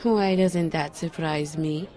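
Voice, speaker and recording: A woman's voice: deep voice, steady tone, slow, mellow, a faint crowd. An actress playing a skeptical, guarded psychic woman, dry and teasing, in an audio drama. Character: skeptical, psychic enchanted woman, the detective's old flame.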